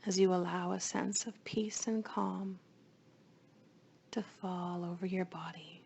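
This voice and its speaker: A woman leading a guided meditation in a soothing, hushed voice, with phrases often trailing into breath. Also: on the low side, steady tone, takes it slowly, vocal fry, a little hiss or hum.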